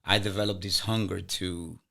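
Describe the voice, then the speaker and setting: Earnest low-voiced Dominican-born man in his fifties. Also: steady tone, moderate pace, vocal fry, fairly bright tone. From Dominican Republic. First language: Spanish. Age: 50s. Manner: earnest, stammers on restarts, laughs often. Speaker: podcast guest. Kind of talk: casual interview chat.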